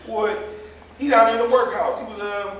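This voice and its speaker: A man's voice: high voice, fairly even intonation, brisk, fairly bright tone. A man playing a chatty, indignant, meddlesome cab driver who gossips at length in a staged play reading. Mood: nosy, scornful. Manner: chatty, indignant, long-winded storytelling. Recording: echoey stage, distant mic, faint noise.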